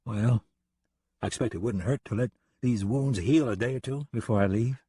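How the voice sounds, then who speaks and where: A man's voice: mid-range voice, normal speed, dark tone, breathy edge, no echo, studio quality, close to an everyday voice. A man voicing a protective, plain-spoken cowboy in a western audiobook. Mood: calm, caring. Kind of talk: western audiobook character acting.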